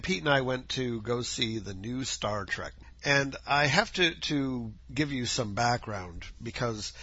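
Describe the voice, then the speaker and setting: A man's voice: mid-range voice, pushed and bright, breathy edge, no room sound, highs cut off. Scratchy-voiced man rambling on a podcast. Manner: rambling, chatty, self-correcting. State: scratchy voice from dust. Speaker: podcast host. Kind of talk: casual solo podcast chat. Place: home, outdoor noises faintly heard.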